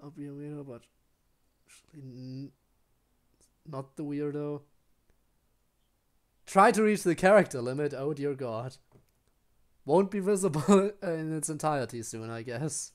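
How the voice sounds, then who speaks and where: A man's voice: on the high side, animated, measured pace, long pauses. A man streaming a video game with casual commentary and wry, muttered asides to himself. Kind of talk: casual live gameplay commentary.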